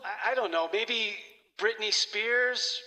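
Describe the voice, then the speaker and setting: A man's voice: high voice, takes it slowly, bright, forceful tone, full character voice. A man playing a nervous, tactful studio hand, hesitant and placating, in a comedy sketch. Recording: reverberant hall sound.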